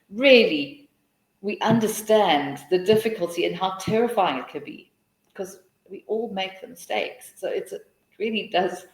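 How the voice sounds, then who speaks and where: A woman's voice: mid-range voice, roomy sound, low-quality recording. A South African woman hosting a live teaching webinar, answering questions in a chatty, encouraging way with many restarts.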